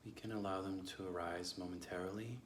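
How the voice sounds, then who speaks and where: A man leading a guided meditation as a teacher, speaking gently and slowly with long pauses. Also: sits low, fairly even intonation. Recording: echoey room with faint background noise.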